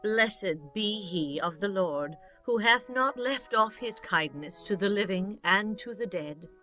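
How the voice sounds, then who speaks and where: A devout older mother-in-law in a Bible drama, played by a woman. She speaks slowly at a middle pitch, giving gentle, caring counsel. Faint music plays beneath her words. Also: lively intonation. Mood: grateful. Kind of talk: dramatized scripture audiobook acting.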